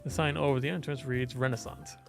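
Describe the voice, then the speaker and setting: Male visual novel narrator, expressive read, fry in the voice, soft background music. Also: mid-range voice, brisk. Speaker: let's player and voice actor.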